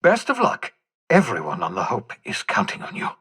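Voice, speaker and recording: Jittery older mad scientist for a video game, husky voice, wordy and wry, pitch all over the place. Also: male voice, huge pitch swings, moderate pace, pushed and bright, exaggerated voice. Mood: chatty, wry. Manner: theatrical, hesitant asides, wordy and wry. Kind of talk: video game character dialogue.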